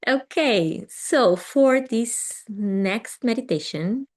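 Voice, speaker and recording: A woman who is not a native English speaker leads a live meditation session. She answers chat comments gently, with frequent small laughs and pauses. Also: on the high side, lively intonation. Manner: gentle and affirming, laughs often, with pauses and ums. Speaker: meditation teacher.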